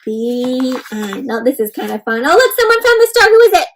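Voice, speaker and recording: A woman hosting an interactive picture book read-aloud for young children, talking in an animated way and often putting questions to her listeners as friends. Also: high voice, fairly quick, background noise.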